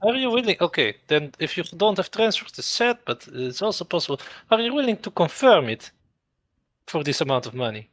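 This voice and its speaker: A man voicing a pushy, scheming Russian leader in a voice-chat roleplay, with a put-on Russian accent and halting, bargaining talk. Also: high voice, sing-song, fairly bright tone, dry recording.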